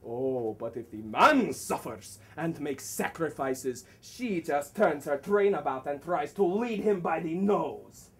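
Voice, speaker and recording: A man acting out a boorish, hot-tempered gentleman in a comic stage play. He declaims slowly and sarcastically, swinging widely in pitch. The voice is caught by a distant microphone on stage. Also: expressive. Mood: scornful. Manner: declamatory, sarcastic, exaggerated, swinging from bellows to murmurs. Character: blustering, boorish, misogynist middle-aged man, mocking and hot-tempered. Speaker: student stage actor. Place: theatre stage. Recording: distant mic on a theatre stage.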